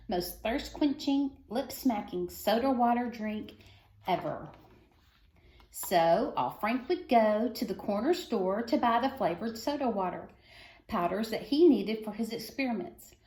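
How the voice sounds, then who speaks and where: A woman's voice: medium pitch, darkish tone, reverberant. A woman reading a children's story aloud for schoolchildren, phrasing expressively and stressing key words. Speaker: reader of a children's book.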